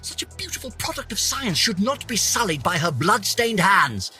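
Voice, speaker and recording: A man's voice: sits high, very animated, normal speed, sharp tone, soft background music, heavily acted. An actor voicing a pompous, vain older British scientist, theatrically narrating his journal for a game with haughty, florid melodrama. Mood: outraged. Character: pompous, vain, aging male scientist, indignant and obsessive.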